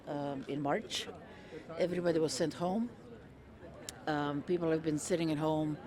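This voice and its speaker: A woman in her fifties, originally from Croatia, answering interview questions in a measured way with frequent ums and uhs. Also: low-pitched. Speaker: interviewee, retired federal worker. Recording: crowd chatter behind. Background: crowd chatter.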